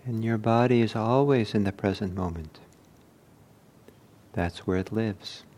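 A man leading a guided meditation as a teacher, speaking in short, measured phrases with long pauses. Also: fairly low pitch, darkish tone.

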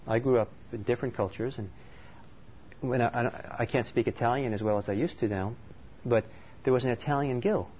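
A man's voice: medium pitch, a little mellow. Thoughtful man giving a dharma talk. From Norway. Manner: thoughtful, conversational, unhurried. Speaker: meditation teacher. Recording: slightly dull recording with faint background noise.

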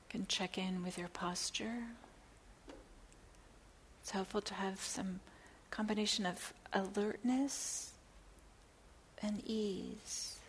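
A woman teaching guided meditation in a soft, breathy near-whisper, gently and with long pauses between phrases. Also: medium pitch, low-quality recording. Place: meditation center. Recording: clear background hiss.

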